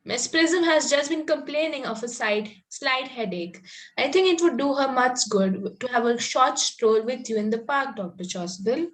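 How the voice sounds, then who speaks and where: A woman's voice: high voice, lively intonation, moderate pace, low-quality recording. A woman playing an artless, romantic young Victorian girl in a comedy table read, ingenuous and politely contrary. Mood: slyly helpful.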